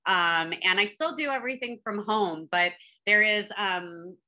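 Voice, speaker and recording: A woman's voice: mid-range voice, a little slow. A woman, talking casually as an interview guest about her business, with lots of fillers and restarts. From born in Afghanistan, raised in Northern California. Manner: relaxed, chatty, many fillers and restarts. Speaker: guest, candle brand founder.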